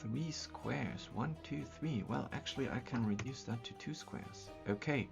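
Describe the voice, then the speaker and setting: A man's voice: mid-range voice, measured pace, creaky. A young man from Austria, a native German speaker with an Austrian accent, chatting on a live stream while building a map. He thinks aloud with many ums and restarts. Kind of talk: casual live-stream chat. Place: small room at a desk, indoors. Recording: slightly dull sound, faint music.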